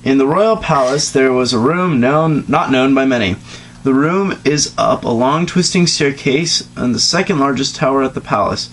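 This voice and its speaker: On a slightly noisy, humming home recording, a man reads a story aloud in a dramatic amateur style. His voice sits at a middling pitch, and he is giggly and crude, often interrupting himself with casual asides. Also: moderate pace, a bit bright. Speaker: reader of a comedic fan fiction reading. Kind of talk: dramatic reading of fan fiction with casual joking asides.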